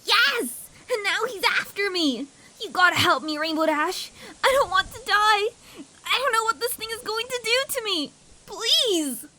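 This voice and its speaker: A woman's voice: extremely high pitch, expressive, measured pace, pushed and bright, slight background noise, exaggerated voice. A woman voicing a frightened, frantic young filly in an acted cartoon fan fiction, with whimpering, pleading delivery. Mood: terrified, frantic.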